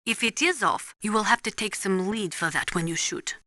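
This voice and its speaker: A serious female military analyst from a video game, voiced by an actress, with a bright, forceful voice at a medium pitch. She delivers technical briefings precisely and formally, in a dry studio sound. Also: moderate pace, dry recording, close to an everyday voice. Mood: instructive. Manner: precise, instructive, formal, avoids contractions.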